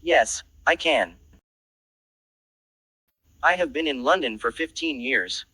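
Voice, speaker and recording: A man reading a scripted introduction dialogue for an English lesson with slow, deliberate phrasing. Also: fairly high pitch, pushed and bright, husky, no echo.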